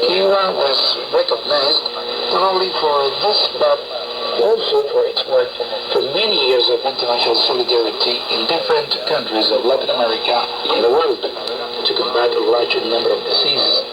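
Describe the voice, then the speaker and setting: Heavy shortwave noise surrounds a man with a medium-pitched voice. He reads out a translated statement as a radio voice-over. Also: moderate pace. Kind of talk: read English translation of a speech. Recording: very noisy shortwave radio reception.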